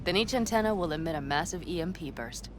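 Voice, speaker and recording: An actress playing a tough, self-assured nomad mercenary in a video game, brisk, confident and plain-spoken. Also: female voice, lowish voice, lively intonation, lightly acted. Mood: focused. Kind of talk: video game dialogue acting. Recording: vehicle and outdoor noise behind.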